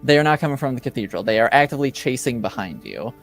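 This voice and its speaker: A man improvising narration as game master of a tabletop game, in halting, hesitant phrases. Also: mid-range voice, sing-song, soft background music.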